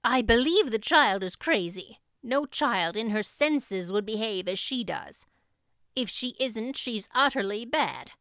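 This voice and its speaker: A woman's voice: fairly high pitch, animated, unhurried, a bit bright, no echo, studio quality. A woman voicing a strict, exasperated female guardian in a storybook, curt, firm and indignant.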